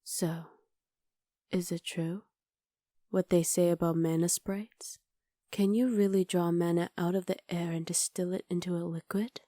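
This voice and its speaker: Low, velvety, imperious witch, acted by a woman. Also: fairly even intonation, warm tone, no echo, clean studio sound, natural voice. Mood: intrigued. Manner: imperious, measured, formal diction. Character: haughty, menacing, powerful witch conqueror, a human woman. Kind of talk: ASMR roleplay.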